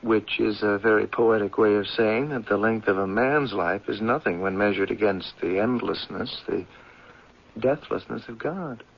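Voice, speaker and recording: A man's voice: lowish voice, moderate pace, creaky, husky. An American actor playing a wry, skeptical anthropologist in a 1970s radio drama, questioning and teasing his professor colleague. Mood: measured. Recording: dull, lo-fi vintage radio recording.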